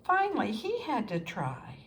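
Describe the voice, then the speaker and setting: A woman reading a children's story aloud as scripted narration, expressive and with deliberate pauses for young listeners. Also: fairly high pitch, a little hiss or hum. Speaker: storybook reader.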